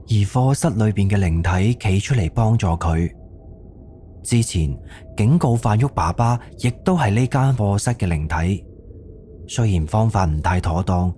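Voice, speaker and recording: A man's voice: lowish voice, a little hiss or hum. A man with a Cantonese accent narrating a scripted suspense ghost story for an audio drama. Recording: soft music under the voice. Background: background music.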